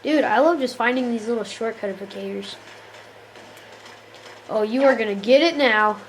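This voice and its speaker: A woman's voice: a little high, moderate pace, slight background noise, rough recording. A woman giving casual, jokey commentary while playing a shooter game, often exasperated and talking to the characters on screen. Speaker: gamer.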